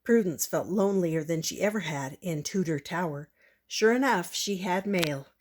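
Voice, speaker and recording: A woman's voice: lowish voice, lively intonation, normal speed, darkish tone. A woman, a grandmother, reading a children's picture book aloud expressively and talking to young listeners. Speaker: storytelling grandmother.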